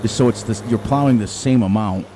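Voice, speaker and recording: A middle-aged man with a loud voice, rambling and thinking aloud as he comments casually on his gameplay into a desk microphone. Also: lowish voice, brisk. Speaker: gaming channel host. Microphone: desk microphone turned down low. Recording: game engine noise behind.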